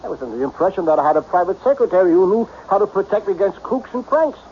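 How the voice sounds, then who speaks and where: Old radio drama: self-made tycoon, brooding, blunt, self-pitying, very animated pitch, dull broadcast sound. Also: male voice, rapid-fire, slight background noise. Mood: irritated, commanding. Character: lonely self-made millionaire businessman, melancholy, brusque, self-pitying.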